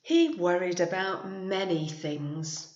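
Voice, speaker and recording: A woman reading a picture book to young children like a teacher, expressively, with chatty asides and gentle questions to her listeners. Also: lowish voice, very animated, slow, a little hiss or hum. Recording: echoey room, slightly muffled sound.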